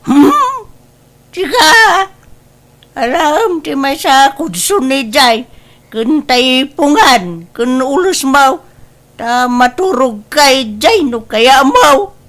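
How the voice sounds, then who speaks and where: Actress as slow, high-voiced stubborn Ilocano wife. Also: female voice, lively intonation, fairly bright tone, heavily acted. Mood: whiny, exasperated. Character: proud, stubborn older wife boasting of her big new house. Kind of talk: Ilocano comedy radio-style drama acting.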